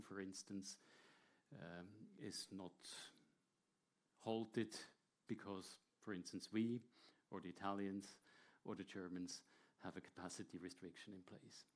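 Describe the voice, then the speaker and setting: A man presenting a technical talk to an audience in a measured, explanatory way, with short hesitant pauses. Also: fairly low pitch, a little mellow. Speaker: air traffic control presenter.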